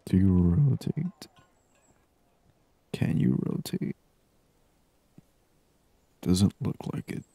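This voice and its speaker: A man speaking softly and breathily in an ASMR gaming style, murmuring short thoughts aloud close to the microphone. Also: low voice, animated, very slow, long pauses, no room sound. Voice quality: soft, hushed, breathy voice. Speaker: gaming streamer. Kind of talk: soft-spoken ASMR game commentary. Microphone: close binaural microphone.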